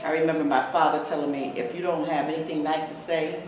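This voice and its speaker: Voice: medium pitch, flat delivery, fast, background noise. A participant sharing a personal story reflectively in a group discussion. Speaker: workshop participant. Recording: echoey room, from far off.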